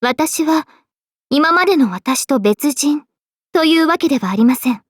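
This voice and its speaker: A woman voicing a gentle, dignified young female knight in a game, speaking politely and with composure. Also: high voice, expressive, measured pace, dry recording, clean studio sound. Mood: calm, explaining. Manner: polite, composed, formal speech. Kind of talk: scripted game character voice lines.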